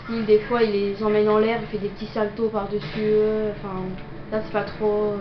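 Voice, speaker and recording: A woman's voice: medium pitch, monotone, normal speed. Young woman, has a cold, hesitant gameplay commentary, echoey room, background noise. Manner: hesitant, many fillers. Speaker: gameplay video maker. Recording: roomy, dull lo-fi audio with noise.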